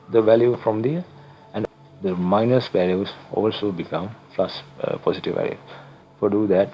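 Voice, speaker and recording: A young man from Sri Lanka talks through a coding tutorial in English, which is not his first language. His voice sits low and gravelly, and he explains haltingly with frequent fillers and restarts. Faint music plays underneath. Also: dull audio. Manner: halting, self-correcting explanations with many fillers. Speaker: channel host, tutorial maker. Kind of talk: screen-recorded coding tutorial.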